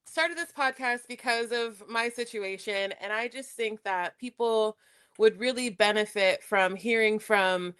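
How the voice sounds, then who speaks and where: Woman podcast host, fairly high voice, laughing, quick interviewer, video call. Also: a bit bright, smooth. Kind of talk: casual interview chat.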